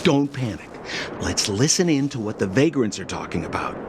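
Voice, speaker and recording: A slippery, boastful game hustler with a breezy, wheedling manner. The pitch moves in lively theatrical swings. Clear noise is audible behind. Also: male voice, normal speed. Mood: breezy, evasive. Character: glib, boastful, slippery young male hustler. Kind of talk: scripted game cutscene dialogue.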